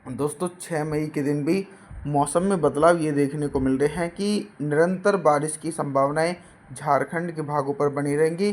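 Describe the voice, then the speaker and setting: Guy with a sore throat doing a weather forecast, a bit high-voiced, reels off place names. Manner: steady listing of place names, addressing viewers as friends. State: hoarse. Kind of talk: regional weather forecast narration.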